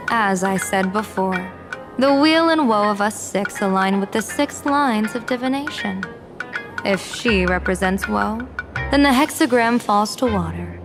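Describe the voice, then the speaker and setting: A woman voicing an enigmatic, composed general and diviner in a video game, speaking in measured, formal, oracular phrasing. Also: medium pitch, close to an everyday voice. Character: enigmatic, composed female general and fortune-teller of fate. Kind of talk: scripted video game voice acting. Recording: music under the voice.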